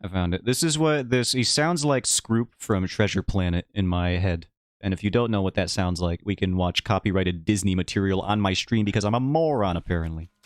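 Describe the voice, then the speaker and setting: A man's voice: deep voice, a little fast, dry recording. A man streaming while he draws his webcomic, who rambles in a casual, self-mocking and jokey way. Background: faint background music.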